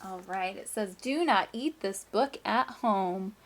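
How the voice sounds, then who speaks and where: A woman teacher reading a picture book aloud to children, with expressive emphasis, drawn-out words and hushed asides. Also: medium pitch, slight background noise.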